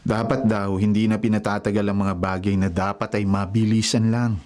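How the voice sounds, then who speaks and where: A man's voice: lowish voice, flat delivery, fairly quick, darkish tone, lightly acted. A man playing an easygoing, teasing young adult older brother in a Filipino radio drama, with a Filipino accent. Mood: breezy. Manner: breezy, casual, ribbing.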